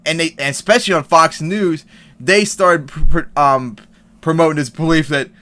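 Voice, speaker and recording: A man's voice: fairly high pitch, moderate pace, bright, forceful tone. A man giving heated, profane commentary on an article and news clips, reading passages aloud and reacting. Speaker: commentary vlogger.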